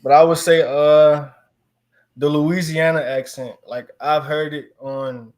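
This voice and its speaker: A young man with a Chicago accent, answering questions in a casual interview, relaxed and full of fillers like "like". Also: fairly high pitch, takes it slowly. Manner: relaxed, filler-heavy, chuckles often.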